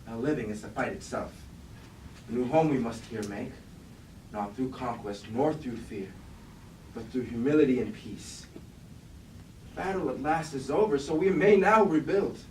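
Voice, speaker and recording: Casting: male actor for a calm, resolute robot monarch, slightly high voice, stately classical verse, heard from across the room. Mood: weary, hopeful. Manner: measured, oratorical, archaic formal diction. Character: noble robot king, weary of war, calm and resolute. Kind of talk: staged reading of mock-classical verse tragedy. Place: indoor room at a staged reading. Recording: roomy, distant mic, faint noise.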